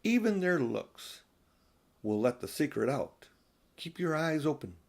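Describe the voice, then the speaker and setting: A man voicing a kindly, knowing old professor in a storybook, musing and gently admonishing. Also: a little high, sing-song, a little slow, vocal fry, heavily acted. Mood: musing, wry. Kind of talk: storybook character voice.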